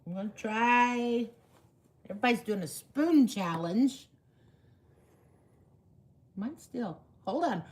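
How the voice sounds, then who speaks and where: A hoarse woman, chatting casually while hosting a woodworking livestream and laughing at herself. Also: a little high, animated, takes it slowly, long pauses, darkish tone.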